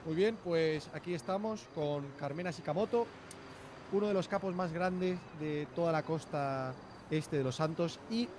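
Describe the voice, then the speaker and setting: A man's voice: on the high side, measured pace, noisy background. Bossy man directing a scene. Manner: bossy, excitable, shouts orders. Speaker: roleplayer acting as film director. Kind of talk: casual game roleplay chat.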